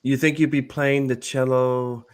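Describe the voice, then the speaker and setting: Man, podcast host, casual, halting, chatty, mid pitch. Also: little pitch movement, smooth. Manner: chatty, halting, loose phrasing. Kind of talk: casual interview questions.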